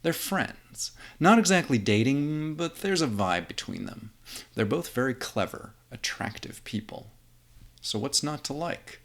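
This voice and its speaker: A man's voice: mid-range voice, expressive, measured pace, fairly bright tone. A man narrating a fan script in an informal, conversational way, with casual asides.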